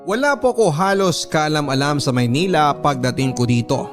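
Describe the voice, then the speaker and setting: A man with a Filipino accent reading a letter as a radio drama narrator, in an earnest, measured storytelling manner. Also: fairly high pitch, over music.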